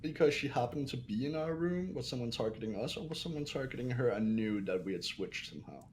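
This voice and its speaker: A man's voice: medium pitch, animated, fast, a little hiss or hum. A man chatting casually as a player in a group video game playthrough. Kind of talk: casual chat.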